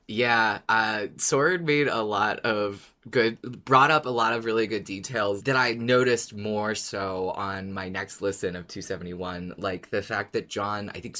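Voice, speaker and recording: Very low-voiced woman chatting as podcast co-host. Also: fairly bright tone. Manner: informal, swears casually. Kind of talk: casual fan discussion chat.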